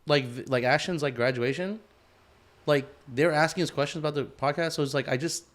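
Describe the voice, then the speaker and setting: A man's voice: fairly high pitch, brisk. A young man co-hosting a podcast, talking casually and rambling with lots of filler words and swearing. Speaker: podcast co-host. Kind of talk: casual chat.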